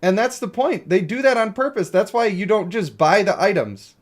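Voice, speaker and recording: A man's voice: high-pitched, animated, normal speed. A man giving casual, opinionated livestream commentary on a mobile game, blunt and given to exasperated ranting. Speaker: game streamer.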